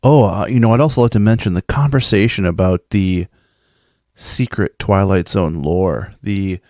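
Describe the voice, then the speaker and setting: A man's voice: low-pitched, moderate pace, a little mellow, no echo, clean studio sound. A man speaking informally as a podcaster, playful and effusive, giving an enthusiastic, geeky monologue. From American Midwest. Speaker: podcast host sending in a festival recap.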